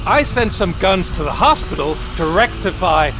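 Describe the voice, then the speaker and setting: A man's voice: high-pitched, animated, moderate pace, background noise. An actor playing a scheming, threatening male villain in a video game, mocking and menacing. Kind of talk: video game cutscene acting.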